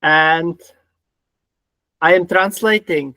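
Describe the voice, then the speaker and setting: Czech-accented man hosting, slightly high voice. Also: very slow, pushed and bright, dry recording. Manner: repeats himself, simple phrasing. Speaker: podcast host. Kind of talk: casual interview talk.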